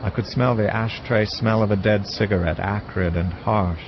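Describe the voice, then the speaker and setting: A man's voice: lowish voice, fairly even intonation, moderate pace, lightly acted. An actor playing an observant young man narrating in the first person, in a scripted audio drama. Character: young man narrating his night-time encounter, observant. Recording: muffled, noisy night ambience.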